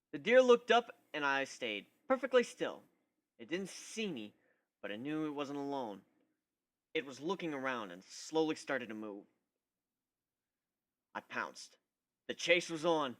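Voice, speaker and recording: Man reading as an animated, bright-voiced young griffin hunter. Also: on the high side. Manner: expressive, emphatic storytelling. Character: young male griffin, once human, cocky introspective hunter. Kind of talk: dramatic first-person fan fiction reading.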